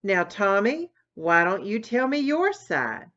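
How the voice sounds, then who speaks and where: A woman voicing a calm, patient mother turtle in a storybook, gentle but firm. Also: mid-range voice, takes it slowly, dull audio.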